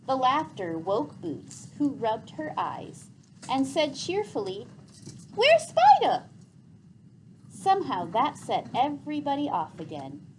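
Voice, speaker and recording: Animated woman reading a story aloud. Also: sits high, slight background noise, rough recording. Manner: animated, deliberate read-aloud delivery. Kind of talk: expressive scripted story narration.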